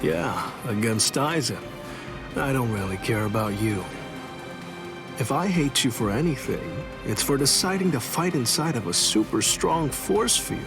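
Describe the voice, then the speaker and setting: A cocky rebel swordsman with a mid-pitched, creaky voice. He is laid-back, blunt and wry, like a casual leader in an anime game cutscene. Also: lively intonation, measured pace. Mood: wry, defiant. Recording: dialogue over clear background music.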